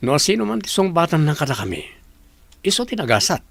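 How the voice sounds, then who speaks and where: A man's voice: a little high, very animated, a little fast, vocal fry, slightly rough, no room sound, full character voice. A man playing a confident, easygoing suitor in an office radio drama. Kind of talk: Ilocano radio drama acting.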